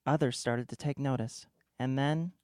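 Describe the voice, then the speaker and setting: Man as smooth, grave documentary narrator. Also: mid-range voice, steady tone, normal speed, darkish tone, no echo, studio quality, natural voice. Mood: portentous. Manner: portentous, dramatic. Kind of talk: scripted narration.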